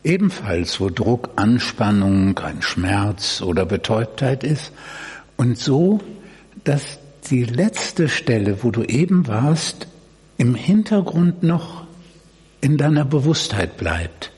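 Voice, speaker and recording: Slow man guiding meditation in echoey hall. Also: medium pitch, sing-song. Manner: gentle instruction in short phrases with long pauses. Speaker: meditation guide. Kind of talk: guided body-awareness meditation. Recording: echoey hall, distant mic, noisy.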